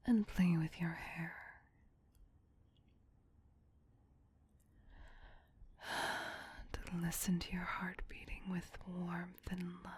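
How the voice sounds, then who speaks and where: A woman playing a tender, possessive vampire queen in an ASMR roleplay, in a breathy whisper with a soothing, intimate, doting manner. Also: fairly low pitch, darkish tone, heavily acted.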